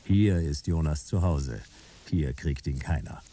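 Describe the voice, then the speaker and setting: A man playing a wry, hard-boiled private detective in a sci-fi radio drama, laconic and deadpan. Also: extremely low pitch, unhurried, soft background music. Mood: laconic narration. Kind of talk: radio drama narration and dialogue, noir detective.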